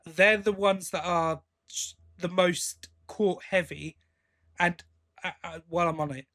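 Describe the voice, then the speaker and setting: A man co-hosting a podcast, giving a casual review in a halting way, thinking aloud as he goes. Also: high voice, fairly even intonation, a bit bright. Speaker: podcast co-host.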